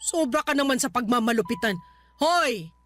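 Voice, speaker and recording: A woman's voice: on the high side, animated, normal speed, a bit bright, soft background music. A woman playing a stern, short-tempered Filipino grandmother who scolds and dismisses her grown daughter in a radio drama. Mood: defensive.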